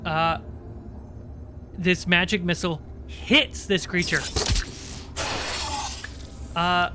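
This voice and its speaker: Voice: medium pitch, steady tone, drawn-out words, noisy background. A game master narrating and refereeing a livestreamed tabletop game in an informal, suspenseful storytelling way. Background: music.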